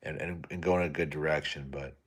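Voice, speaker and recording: A young man hosting a casual late-night live stream, soft-spoken and low-energy, chatting with viewers and reading their comments. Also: steady tone, brisk. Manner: tired, low-energy, laid-back.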